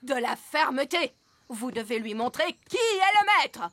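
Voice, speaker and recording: A woman's voice: high voice, very animated, a little slow, background noise. A woman playing a bossy, strict animal trainer in a children's cartoon, with clipped, commanding delivery. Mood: firm, commanding. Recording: music behind the voice.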